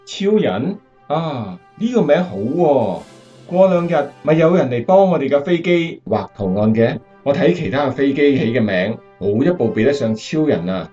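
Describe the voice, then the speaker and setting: Actor as steady bomber captain, muffled audio. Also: male voice, medium pitch, normal speed, faint music behind, close to an everyday voice. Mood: modest, eager. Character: steady, earnest male American WWII bomber pilot captain. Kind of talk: Cantonese radio drama acting.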